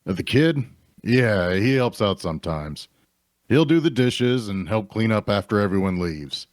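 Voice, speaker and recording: An actor playing an easygoing, practical tavern keeper in a fantasy drama read, casual and matter-of-fact. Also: male voice, fairly low pitch, expressive, measured pace, dry recording, studio quality.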